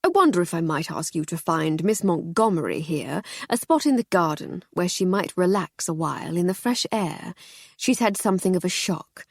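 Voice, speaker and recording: A woman's voice: fairly low pitch, sing-song, moderate pace, gravelly, no echo, studio quality, heavily acted. Woman doing a plain-spoken police inspector. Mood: polite. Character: exasperated male police inspector, down-to-earth.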